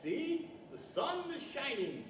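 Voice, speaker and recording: Casting: older man as courtly storybook wizard-professor, theatrical, high-pitched, in an echoey hall. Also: very animated, slow pace, heavily acted. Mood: kindly, instructive. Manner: formal, theatrical. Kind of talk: 1940s radio-style stage acting. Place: large library event room, standing microphone. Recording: distant, dull, echoey hall with hiss.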